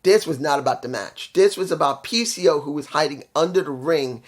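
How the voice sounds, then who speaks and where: Congested, nasal man with a slightly high voice, casual rambling wrestling reviewer. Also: fairly quick. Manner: rambling, with restarts and stumbles.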